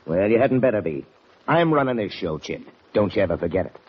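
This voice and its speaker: An actor playing a domineering, scheming Western outlaw boss in an old radio drama, sneering in folksy cowboy talk. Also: male voice, lowish voice, very animated, brisk, a little hiss or hum. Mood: domineering, threatening. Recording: muffled vintage radio recording.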